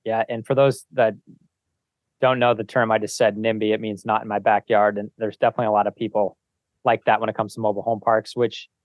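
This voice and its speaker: A man's voice: lowish voice, level tone, brisk, dry recording. A man hosting an interview podcast in a casual, conversational style. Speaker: podcast host.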